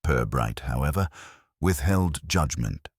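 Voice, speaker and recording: A man narrating a scripted mystery story in a measured, formal literary manner. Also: extremely low pitch, lively intonation, breathy edge, no echo, clean studio sound. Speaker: audiobook narrator.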